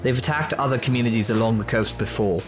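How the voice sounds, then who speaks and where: A man's voice: mid-range voice, quick pace, soft background music, lightly acted. An actor playing a defiant, earnest local man in an audio drama, speaking emphatically. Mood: worried. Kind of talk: full-cast audio drama acting.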